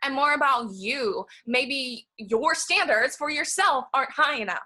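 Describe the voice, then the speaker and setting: Sharp, high-voiced, defensive older sister, acted by a woman. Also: animated, measured pace, heavily acted. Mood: defensive, irritated. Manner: defensive, argumentative. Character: defensive, self-centred successful older sister. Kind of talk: stage play acting. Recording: live stage recording.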